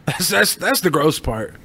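A man co-hosting a show in casual, profane comedic chat, joking crudely and excitably. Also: fairly high pitch, slow pace, gravelly, husky. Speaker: show co-host.

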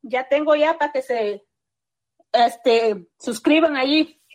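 A playful woman with a Salvadoran accent joins a radio call-in show. Her voice sits high and she trades cheeky, casual banter in a dry sound with little room in it. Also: takes it slowly, no room sound.

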